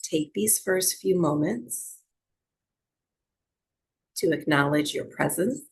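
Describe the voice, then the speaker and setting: Female meditation guide: very unhurried, gravelly edge, soft soothing instruction for breathwork and rest. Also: on the low side, expressive. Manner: soothing, gentle, unhurried instruction with long pauses. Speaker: yoga and breathwork teacher.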